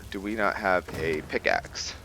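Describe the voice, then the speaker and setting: A low male voice with a bright, forward tone narrates a roleplay playthrough in a casual way. He pauses and thinks aloud as he works out what his character will do. There is noticeable noise behind him on what sounds like a home recording. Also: animated, creaky. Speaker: gamer hosting a roleplay playthrough. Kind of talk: casual gameplay commentary.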